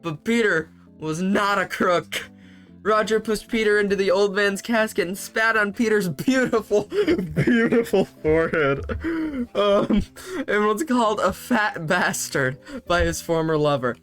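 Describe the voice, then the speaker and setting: A man's voice: sits high, measured pace, a bit bright, faint music behind. A young man hosting a comedy video, reading fanfiction aloud with sarcastic asides and frequent laughter. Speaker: host.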